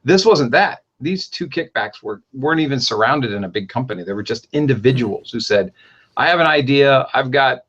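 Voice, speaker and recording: A man of middle pitch hosting a casual podcast, rambling along with lots of restarts and sudden lively jumps in emphasis. The sound is a little dull and lo-fi, like a modest home setup. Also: moderate pace, slightly rough. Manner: rambling, animated, self-correcting. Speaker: podcast host.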